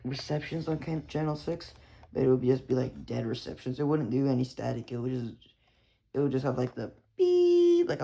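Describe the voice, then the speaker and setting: A man's voice: medium pitch, gravelly, warm tone. A young man with a Texan accent rambling casually about radio hobby gear, swearing, full of fillers and restarts. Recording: lo-fi with background hiss.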